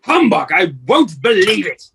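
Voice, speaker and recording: A man playing a crabby old miser in a radio play, with a husky voice and a crotchety, easily rattled manner. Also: high voice, big pitch swings, a little slow, sharp tone, slight background noise, full character voice. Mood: defiant. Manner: crotchety, stammering when frightened. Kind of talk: amateur radio play acting.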